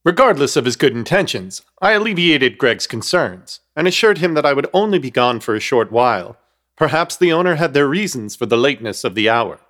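Wry, animated male radio-play narrator. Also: mid-range voice, fairly quick. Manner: wry, ornate, mock-grave storytelling.

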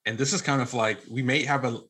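A man with a clearly bright, forceful voice at an average pitch. He talks casually, as in a podcast conversation, circling toward his point with halting starts and repeated phrases. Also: steady tone. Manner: halting, rambling, restarts phrases often. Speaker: podcast guest and media producer. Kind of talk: casual conversational interview talk.

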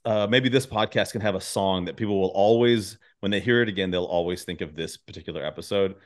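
Low-voiced male podcast host, rambling and goofy. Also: fast talker. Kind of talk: casual, joking conversation.

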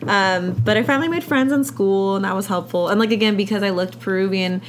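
A woman's voice: medium pitch, brisk, slight background noise. A young adult woman from California, a podcast guest telling stories in a chatty way, full of "like" and frequent laughter. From California, USA. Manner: chatty storyteller, many "like" fillers, frequent laughter. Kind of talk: casual conversational interview.

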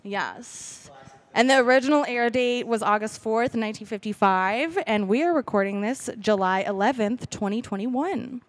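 A woman's voice: a little high, normal speed. A woman hosting a podcast in casual, joking chat. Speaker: podcast host.

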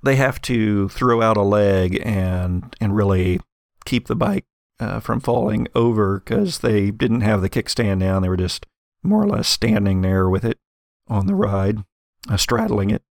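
A man's voice: lowish voice, lively intonation, a little slow, a little mellow, no room sound, clean studio sound. A man working as game master, narrating an actual-play tabletop game in a conversational, improvised way.

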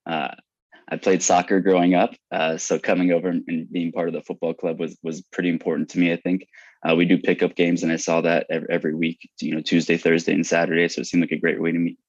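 An American man in his thirties, a student guest answering interview questions casually, with halting restarts and fillers. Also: deep voice, completely flat delivery, dry recording. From United States. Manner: halting, many restarts and fillers, laughs lightly. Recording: dull lo-fi dry sound.

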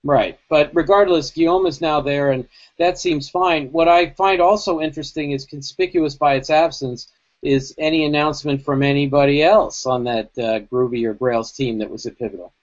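A man's voice: on the high side, moderate pace. A man co-hosting a casual tech podcast, talking in long rambling thoughts full of asides over a video call. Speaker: podcast co-host. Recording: dull lo-fi video call audio.

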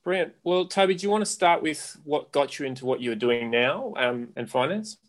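A man's voice: a little high, lively intonation, normal speed. A man co-hosting a finance podcast, introducing the show and a guest with many ums. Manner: hesitant, many ums and uhs. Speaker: podcast co-host.

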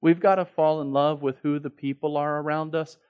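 A man's voice: fairly high pitch, flat delivery, brisk, clean tone, narrow, tinny audio. A middle-aged male pastor preaching a sermon in a conversational, storytelling way, repeating words as he restarts and rising to emphatic peaks.

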